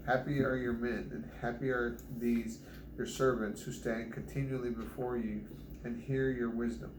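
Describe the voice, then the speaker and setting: A man reading a Bible chapter aloud for his family, steady but often stumbling and restarting words, recorded at home. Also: mid-range voice. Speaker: Bible reader. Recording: echoey room with background noise.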